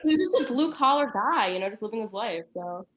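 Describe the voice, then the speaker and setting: A woman's voice: on the high side, big pitch swings, fast, a bit bright. A woman taking part in a casual podcast conversation. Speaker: podcast participant. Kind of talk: casual chat.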